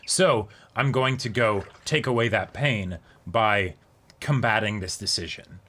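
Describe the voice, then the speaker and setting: A man speaks in a lowish voice that has a strongly bright, forceful tone. He sounds like a co-host in an informal analytical chat, agreeable as he reasons things through. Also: measured pace. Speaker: co-host of an anime reaction discussion show.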